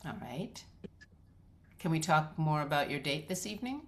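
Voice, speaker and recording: An actress reading a script as a patient, supportive therapist, speaking in a measured, gentle, professional way. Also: female voice, sits low, very animated, a little hiss or hum. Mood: patient, probing. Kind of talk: dramatic script read.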